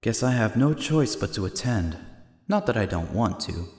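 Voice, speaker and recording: A man's voice: fairly low pitch, big pitch swings, normal speed, darkish tone. A man playing a wry, aloof young private investigator in a fan audio drama, with a sardonic manner. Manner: sardonic, dry-witted. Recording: long reverb, faint music.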